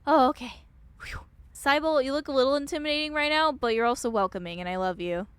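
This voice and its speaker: A woman streamer reading a game aloud and reacting in an expressive, casual, sweary way. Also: high voice, fast talker, smooth, no echo.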